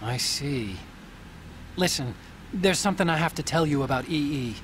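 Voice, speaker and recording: An actor playing an earnest, troubled scientist ally, hesitant yet explanatory, in a video game cutscene. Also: male voice, fairly high pitch, animated, moderate pace, a bit bright, slight background noise. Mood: serious.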